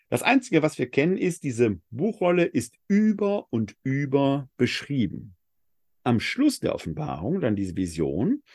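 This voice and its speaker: A man from Essen giving a Bible lecture, reading scripture slowly and with weight before explaining it reflectively. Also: mid-range voice, a little mellow, slightly rough, no echo, studio quality. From Germany (Essen). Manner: reads scripture passages slowly and with emphasis, then explains in a reflective tone. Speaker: Bible teacher.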